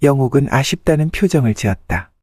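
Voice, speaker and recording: A man's voice: medium pitch, expressive, brisk, darkish tone, slightly rough, no echo, studio quality. A man narrating a folktale from a script as the storyteller.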